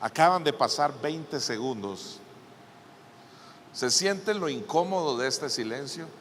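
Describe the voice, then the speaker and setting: A man with a Costa Rican Spanish accent preaching to a conference in an emphatic way, with deliberate pauses. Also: on the high side, lively intonation, fairly bright tone, slight background noise. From Costa Rica. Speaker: guest preacher at a church conference. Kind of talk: sermon with scripture reading and prayer. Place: large indoor church conference hall. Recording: long hall reverb from a PA system.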